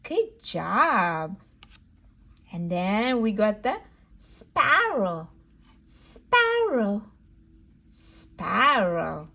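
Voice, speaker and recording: A woman's voice: mid-range voice, sing-song, drawn-out words, gravelly, pauses often, bright, forceful tone. A woman leading a read-aloud word lesson for toddlers, sing-song and encouraging, repeating words for a small child. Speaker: children's educator and host.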